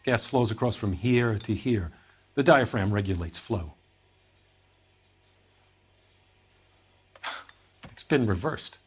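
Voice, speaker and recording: A man's voice: fairly low pitch, expressive, moderate pace, breathy edge. A man playing a defensive, harried heater company boss in a video game, talking in a matter-of-fact way. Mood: matter-of-fact, concerned. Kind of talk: video game dramatic acting.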